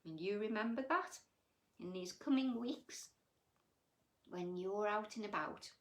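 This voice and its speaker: A woman's voice: medium pitch, a little slow, roomy sound. A woman hosting a children's story time, telling stories and chatting to young listeners in a gushing, affectionate, exclamatory manner. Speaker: children's bedtime story reader and host. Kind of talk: animated storytelling and chat addressed to young children.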